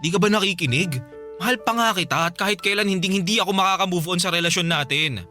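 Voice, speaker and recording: A man's voice: sits high, fast talker, bright, forceful tone, faint music behind. An actor playing a lovesick young man pleading emotionally with his ex in a Filipino radio drama. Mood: desperate pleading. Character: Terence, desperate, pleading, lovesick young ex-boyfriend.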